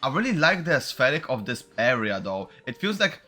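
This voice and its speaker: Bright-voiced man giving casual game commentary. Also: mid-range voice, very animated, brisk. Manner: musing, thinking aloud. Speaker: streamer playing a video game.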